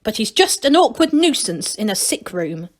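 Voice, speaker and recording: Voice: medium pitch, sing-song, moderate pace. A woman voicing a self-satisfied old gnome granny, scolding and commanding in a no-nonsense way. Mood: bossy.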